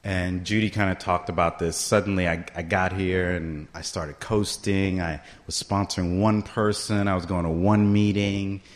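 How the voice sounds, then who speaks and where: A man's voice: sits low, steady tone, moderate pace, hardly any pauses. A middle-aged man telling his own story at a recovery meeting, conversational and often checking in with the listeners. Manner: conversational, keeps asking "right?" to the room. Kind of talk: personal story told to a meeting.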